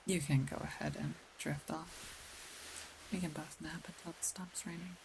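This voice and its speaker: A woman voicing a caring, protective female werewolf in an intimate ASMR roleplay, speaking gently and confidingly with pauses. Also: low voice, steady tone, gravelly, background noise. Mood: tender, reassuring. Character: caring, protective female werewolf, older than she looks, wistful. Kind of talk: ASMR roleplay, intimate scripted acting addressed to one listener.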